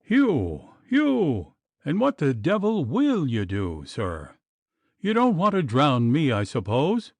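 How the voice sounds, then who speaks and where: Guy doing a bragging hot-tempered gentleman yelling curses, bouncy pitch, dry. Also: wildly swooping pitch, slow, no echo, exaggerated voice. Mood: blustering. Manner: blustering, shouting. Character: hot-tempered boastful gentleman, frightened and cursing.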